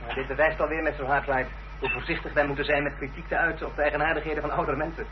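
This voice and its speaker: An actor playing a friendly, jovial young country lawyer in a radio drama, with an easygoing gentlemanly manner. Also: male voice, a little high, level tone, rapid-fire, lightly acted. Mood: obliging, musing. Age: young adult. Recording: muffled, noisy old radio play recording.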